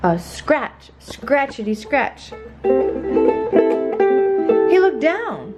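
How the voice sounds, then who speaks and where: A woman reads a children's story aloud at an unhurried pace. Her delivery is playful and expressive, and she gives encouraging asides to a young listener. A ukulele plucks loudly behind her. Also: high-pitched. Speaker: parent reading aloud to a child. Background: loud ukulele music.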